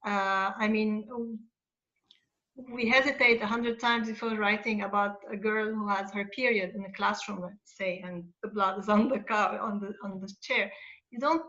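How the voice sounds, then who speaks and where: A woman's voice: medium pitch, steady tone, normal speed, pushed and bright, lo-fi sound. A woman from Lebanon, a children's author, talking earnestly on an online panel, with many hesitations. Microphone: video call.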